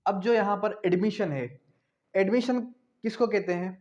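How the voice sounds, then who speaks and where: A man's voice: sits high, normal speed, darkish tone, dull audio. A man with a Pakistani accent giving a law lecture, explaining didactically with repetition, asking questions and answering them himself, and dictating definitions.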